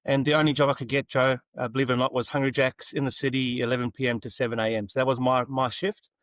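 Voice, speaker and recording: A man's voice: medium pitch, flat delivery, quick pace, a bit bright, no echo. Easygoing man, dry close sound. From South Africa, later Australia. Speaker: podcast guest. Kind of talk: casual interview storytelling.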